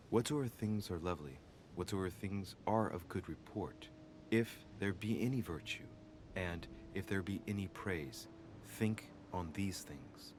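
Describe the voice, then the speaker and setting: An actor playing a brooding investigator in a video game, speaking in a measured, solemn and formal way. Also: male voice, low-pitched, a little hiss or hum. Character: brooding male investigator examining religious paintings and scripture. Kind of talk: scripted video game voice acting.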